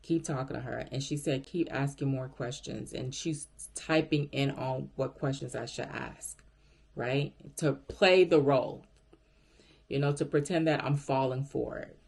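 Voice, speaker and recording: A man's voice: medium pitch, unhurried, gravelly, a little mellow. A man telling a story casually as a vlogger, speaking straight to viewers and acting out the dialogue as they go. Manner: conversational, addresses viewers directly, acts out quoted dialogue. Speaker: vlogger recounting a work story.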